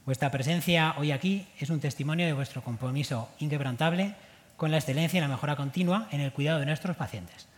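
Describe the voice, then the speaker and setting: A man's voice: a little high, fast talker. A man reads a formal, prepared welcome speech at the opening of a medical seminar. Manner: formal, reading prepared remarks. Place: conference hall. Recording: long hall reverb.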